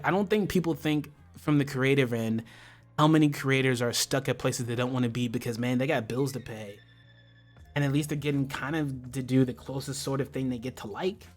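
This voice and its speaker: An American man hosting a casual livestream chat, talking in an impassioned, slangy way and swearing freely. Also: mid-range voice. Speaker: livestream host.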